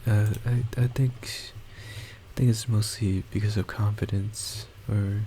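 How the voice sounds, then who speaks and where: A young man speaks in a quiet, low, soft voice, slow and nearly monotone. He hesitates and circles back on himself, with fillers in nearly every sentence. His boosted desktop mic also picks up a clear hiss and keyboard typing. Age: young adult. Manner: hesitant, halting, many restarts and fillers. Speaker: college student. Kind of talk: rambling personal monologue. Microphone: desktop microphone with boosted gain.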